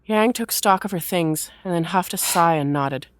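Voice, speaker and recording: A woman reading scripted third-person story narration in an expressive storytelling manner. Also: fairly low pitch, moderate pace, no echo.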